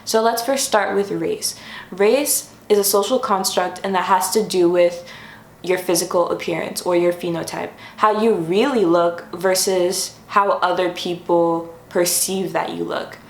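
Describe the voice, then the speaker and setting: A woman's voice: fairly low pitch, steady tone, measured pace, reverberant. A young woman hosting a casual explainer vlog from her room, friendly and chatty, sometimes stopping to correct herself. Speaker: YouTube host. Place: her room, sitting on the floor.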